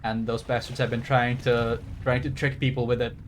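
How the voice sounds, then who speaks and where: A man's voice: medium pitch, level tone, normal speed, slight background noise. A man streaming a game with casual, rambling commentary in an irreverent, joking and sweary manner.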